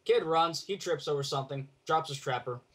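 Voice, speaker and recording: A man telling a casual story over an online voice call, blunt and sweary, in halting phrases. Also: on the high side, a bit bright. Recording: noisy online call with clicks.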